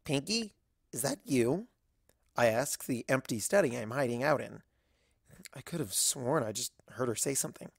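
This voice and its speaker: A woman's voice: extremely low pitch, animated, normal speed, vocal fry, slightly rough, no echo, exaggerated voice. An anxious young cartoon pony princess played by a woman, bookish and wry. She narrates her own fan fiction tale with fretful drama, in a very dry, close recording. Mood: bewildered, exasperated. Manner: wry, fretful, dramatic first-person storytelling. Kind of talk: fan fiction audio reading in character.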